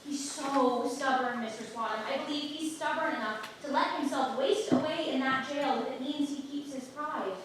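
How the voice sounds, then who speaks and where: A woman's voice: sits high, moderate pace, hardly any pauses, natural voice. An actress playing an earnest, outspoken young townswoman in a staged reading of a period play. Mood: worried. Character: Alice, an earnest, outspoken young sweetheart of a jailed apprentice. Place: playhouse stage. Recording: echoey theatre from far off, noisy.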